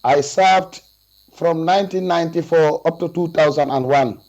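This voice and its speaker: A man in his forties with a Gambian accent, giving deliberate, formal answers as a witness over a video call from a room. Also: fairly high pitch, a little mellow. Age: 40s. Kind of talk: testimony over video link. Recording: muffled video call audio with mains hum.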